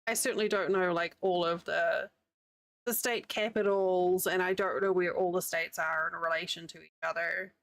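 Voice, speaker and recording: A New Zealand woman chats loosely with her stream audience, rambling and laughing as she goes. Her voice has a gravelly edge. The recording is dry and close, with no room sound. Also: fairly low pitch, fairly even intonation, normal speed, fairly bright tone, dry recording. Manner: chatty, rambling, laughs often. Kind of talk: casual chat with live chat while crocheting. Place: home, living in the United States.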